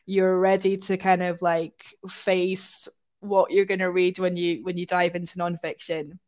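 A woman from Scotland speaks as a guest in a casual interview. Her voice sits a little low, and she talks in a reflective, conversational way. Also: little pitch movement, a little slow, darkish tone. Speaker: podcast guest.

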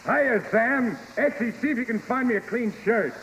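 A man's voice: very high-pitched, normal speed, fairly bright tone, slight background noise, rough recording. An actor playing an eager young male vaudeville performer in a 1950s radio drama. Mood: excited. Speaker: actor in lead role.

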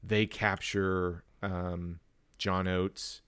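A man's voice: low voice, level tone, extremely slow, fairly bright tone, smooth, no echo, clean studio sound. A man hosting a movie podcast in casual chat, thinking aloud in a rambling way.

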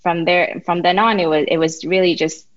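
A woman with Mandarin as her first language speaks as a podcast guest in a somewhat low voice. She muses aloud, pausing and restarting often. The sound is dry and a little muffled. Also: steady tone, dry recording. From Taiwan. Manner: reflective, halting with many restarts and fillers. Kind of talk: casual interview.

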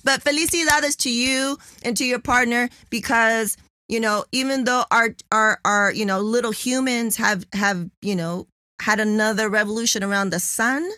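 A woman hosting a casual interview, enthusiastic and expressive, laughing often. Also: fairly high pitch, normal speed, no echo, clean studio sound. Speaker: interview host.

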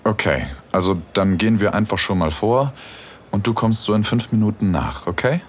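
A man playing a relaxed, teasing, seductively commanding husband in an erotic audio drama. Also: fairly low pitch, moderate pace, a little hiss or hum, close to an everyday voice. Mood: casual.

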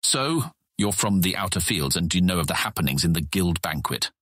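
A stern older guild deputy in a fantasy audio drama. The voice is low and husky, formal and condescending, with the weight of command. It sounds close and dry. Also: male voice, animated, brisk, fairly bright tone, dry recording, exaggerated voice. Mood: suspicious. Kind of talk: full-cast fantasy audiobook acting.

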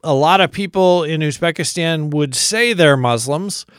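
This is an American man hosting a radio interview, calm and attentive. His voice is smooth and fairly high for a man. The sound is dry and close to the mic. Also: moderate pace, no room sound. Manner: calm, attentive, sums up the guest's words. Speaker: radio show host.

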